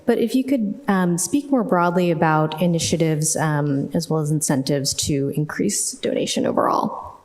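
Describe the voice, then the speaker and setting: A woman moderating a panel, briskly reading out an audience question. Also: low-pitched, darkish tone. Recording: reverberant conference hall.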